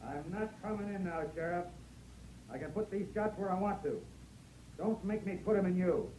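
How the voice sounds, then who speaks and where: Echoey, high-pitched defiant rancher, acted by a man. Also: normal speed, warm tone, close to an everyday voice. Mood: menacing. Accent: American. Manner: belligerent, emphatic. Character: defiant, hot-headed armed rancher, lovesick and threatening. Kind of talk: 1950s radio drama acting. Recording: echoey, faintly noisy old radio recording.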